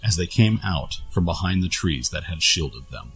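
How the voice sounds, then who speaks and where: A man narrates a scripted fantasy adventure. His voice is low, and quiet music plays underneath the reading. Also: lively intonation, moderate pace, low-quality recording.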